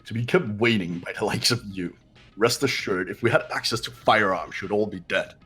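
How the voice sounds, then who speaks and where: Casting: man as arrogant young male game character, low and very raspy, cold, cutting condescension. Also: moderate pace, sharp tone, faint music behind. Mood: contemptuous. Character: haughty, contemptuous young man, cold and threatening. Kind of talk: fan-dubbed video game character voice.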